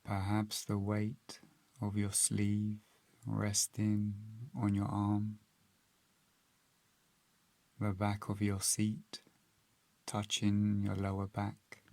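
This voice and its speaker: A man narrating a guided meditation as a guide, calm and gentle, with measured phrases and long pauses. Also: on the low side, creaky, no echo.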